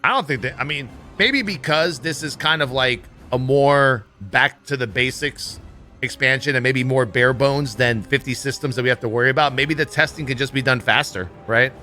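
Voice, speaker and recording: A man's voice: medium pitch, moderate pace, bright, forceful tone, faint music behind. A man giving casual, blunt, opinionated livestream commentary on gaming news, swearing freely as he goes. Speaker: livestream host.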